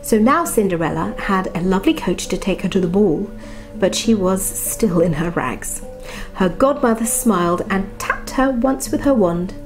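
A woman reading a bedtime storybook softly and expressively, with chatty asides about the pictures. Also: mid-range voice, expressive, normal speed, rough recording. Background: music.

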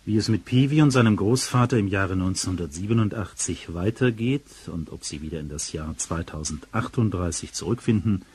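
A man's voice: sits low, fairly quick, few pauses, a little mellow, slightly rough. A man reading scripted radio narration as an announcer.

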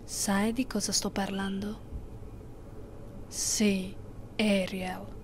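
A woman playing a haughty, possessive immortal vampire mistress in an ASMR roleplay, speaking slowly and imperiously, often close to a whisper. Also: medium pitch, darkish tone, a little hiss or hum, lightly acted. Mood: jealous, stern. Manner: slow, imperious, condescending, often near whisper.

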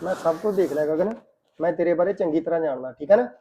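A man's voice: a little high, fast, mellow, a little hiss or hum, lightly acted. A man playing an indignant, protective husband in a family drama, firm and insistent. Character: indignant, protective husband, visiting brother-in-law. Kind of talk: Punjabi domestic drama dialogue.

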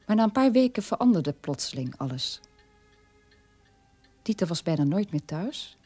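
A middle-aged mother tells a troubled family story as a radio drama monologue. Her voice is on the low side for a woman, recorded very dry, with some music behind it. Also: big pitch swings, moderate pace, warm tone, slightly rough, no room sound. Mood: reflective, troubled.

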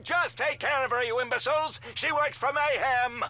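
A man's voice: extremely high pitch, steady tone, moderate pace, bright, forceful tone, heavily acted. An actor playing a smug, scheming male villain in a video game, with a pompous, theatrical manner.

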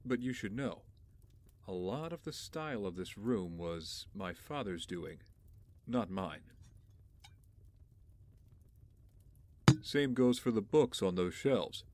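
A man playing an aloof, wry young billionaire in an audio drama, speaking in a dry, measured, haughty way. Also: on the low side, expressive, noisy background. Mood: wry, composed.

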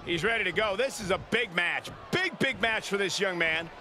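A man's voice: high voice, expressive, moderate pace, full character voice. A man playing a lead wrestling commentator in a video game, calling the action dramatically and emphatically, often repeating phrases. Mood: tense commentary. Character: excitable male wrestling announcer, dramatic and indignant. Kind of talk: sports commentary. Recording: loud arena crowd and noise behind. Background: roaring crowd, wrestling arena sounds.

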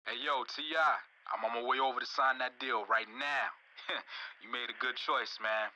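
A man's voice: mid-range voice, steady tone, moderate pace, bright, forceful tone, a little hiss or hum. An actor playing a street-talking young man leaving casual voice messages.

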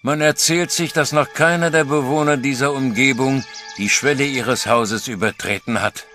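An actor playing an old male farmer and grandfather, stern and fearful, in an audio drama, talking hushed and then grimly threatening. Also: medium pitch, steady tone, normal speed, faint music behind, natural voice. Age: older. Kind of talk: radio drama acting.

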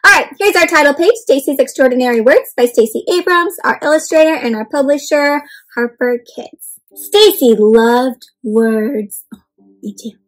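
A young woman hosting an interactive read-aloud of a picture book for children, talking to them warmly as "my friends" and asking them questions. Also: high-pitched, normal speed, poor audio. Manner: animated, addresses kids as "my friends". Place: home room with bookshelf and plants.

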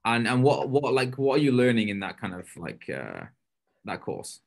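A man in his thirties hosting a casual interview, asking questions in informal, halting phrases. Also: medium pitch, wildly swooping pitch, gravelly. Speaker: podcast host, interviewer. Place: indoor home room, video call.

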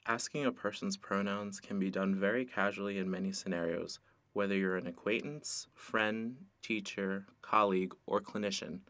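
A man's voice: fairly low pitch, steady tone, normal speed, fairly bright tone, dry recording. A young man narrating a training video and acting in casual role-play scenes.

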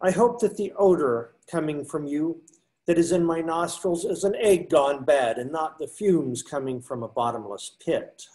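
A man's voice: fairly high pitch, moderate pace, a little mellow, close to an everyday voice. An older man reading the part of a pious friar who loves books, earnest and pleading, in a video call reading. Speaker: reader in readers theater. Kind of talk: amateur readers theater over video call. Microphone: video call webcam.

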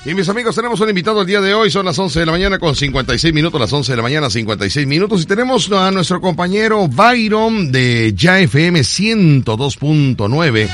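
Lively joking radio host. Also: male voice, on the high side, a little fast, hardly any pauses, faint music behind. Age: 40s. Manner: playful, teasing.

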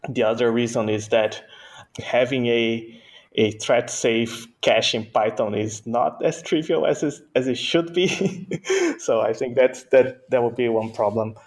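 A man from Brazil, a native Portuguese speaker, answering interview questions conversationally as a guest engineer, with halting phrasing and repeated words. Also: medium pitch, steady tone.

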